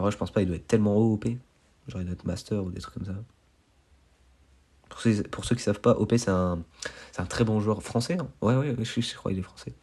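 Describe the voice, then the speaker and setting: A young man with a cold, nasal and stuffy, giving excitable, slangy commentary over his own gameplay and poking fun at his mistakes. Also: lowish voice, slightly rough. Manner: excitable, self-mocking, slangy. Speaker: gaming YouTuber.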